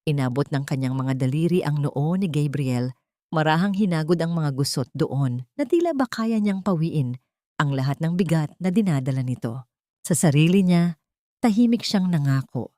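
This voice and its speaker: A woman tells a scripted drama as its narrator. Her voice is slightly low and recorded dry and close. The delivery is solemn and emotive, with a literary, storybook phrasing. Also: lively intonation, moderate pace, dark tone, no room sound.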